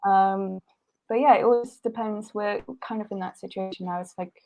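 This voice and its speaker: A young woman who grew up in Sweden, speaking as a guest in a relaxed interview. Her voice sits at an ordinary middle pitch, and she talks in loose, chatty runs, doubling back and restarting her sentences as she goes. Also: moderate pace. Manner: chatty, many likes and restarts. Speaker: singer-songwriter guest. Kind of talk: casual interview chat.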